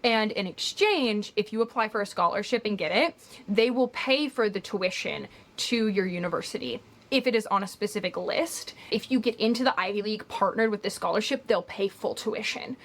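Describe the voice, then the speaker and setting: A woman's voice: medium pitch, animated, normal speed, a bit bright. A woman in her twenties from Kentucky, a university student explaining at length in a casual interview, with frequent "like" and "um". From United States, Kentucky. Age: 20s.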